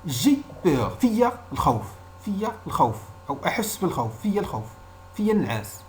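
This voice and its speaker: A Moroccan man with a lively, widely moving pitch teaches an informal language lesson. As a native Arabic speaker, he explains in a didactic way and often repeats short example phrases. A faint hum of noise sits behind his voice. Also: fast.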